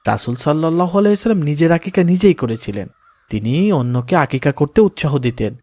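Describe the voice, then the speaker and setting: A man voicing a pious, kindly father in a moral cartoon, giving gentle counsel in a calm, polite, instructive way. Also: fairly high pitch, fast, no echo. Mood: reassuring, pious. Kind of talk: cartoon voice acting in moral story.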